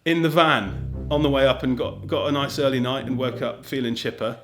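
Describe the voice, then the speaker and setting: A man, an audio drama director, telling a casual anecdote in an animated, self-deprecating way. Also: medium pitch, moderate pace. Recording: music playing behind the voice. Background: background music.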